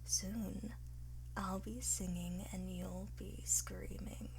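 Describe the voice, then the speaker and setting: A woman playing a cocky, flirtatious art thief in an ASMR roleplay, teasing and smug, often slipping into breathy whispers. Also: mid-range voice, steady tone, slow pace, a little mellow, a little hiss or hum. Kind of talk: ASMR roleplay, one-sided acted dialogue.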